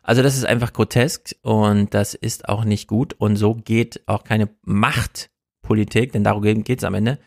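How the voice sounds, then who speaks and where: A man with a gravelly, creaky voice hosts a casual political livestream, opinionated and restless. The sound is dry and close, with no room around it. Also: fairly low pitch, fairly even intonation, measured pace, a little mellow, dry recording. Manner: opinionated, repeats "grotesk", restless. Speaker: livestream host.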